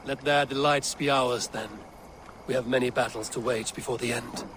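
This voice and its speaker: An actor playing a loyal, commanding Viking war leader in a video game, measured in manner. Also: male voice, medium pitch, steady tone, a bit bright, natural voice. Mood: steady, rallying. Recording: noisy outdoor ambience behind.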